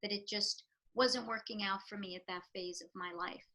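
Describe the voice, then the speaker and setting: A woman with a New York accent answers interview questions in a bright, forceful voice. She talks in an earnest, reflective way, pausing with fillers as she thinks. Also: medium pitch, fairly even intonation. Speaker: real estate professional.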